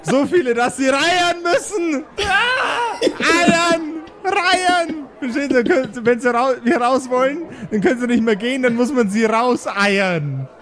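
A man's voice: very high-pitched, unhurried, a bit bright, pitched above the performer's own voice. A man playing an over-polite, stammering waiter who loves puns, in an improvised tabletop audio play. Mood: gleeful. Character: chatty, pun-crazed male restaurant waiter, eager to please.

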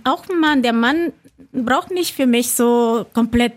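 A woman's voice: a little high, unhurried. A woman in her forties chatting casually and candidly as a podcast guest, often repeating words as she searches for phrasing.

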